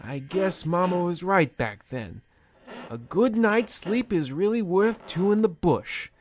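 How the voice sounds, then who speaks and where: A man's voice: high voice, animated, a little slow, a little hiss or hum. An actor playing a pompous, overwrought husband half out of his wits, theatrical and florid, in a comedic old-time radio play. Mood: haunted.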